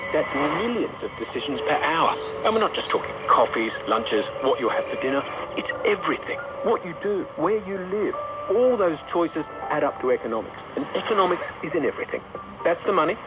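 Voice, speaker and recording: A man delivers a scripted radio promo with lively, swooping intonation and a fairly high pitch. It is heard through very noisy shortwave reception. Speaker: promo presenter.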